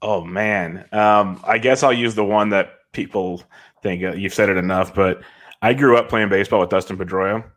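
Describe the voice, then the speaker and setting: This is a man in his thirties from California who talks in a relaxed, rambling way. He laughs often and has a somewhat low voice. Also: moderate pace. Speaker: podcast guest. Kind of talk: casual podcast chat.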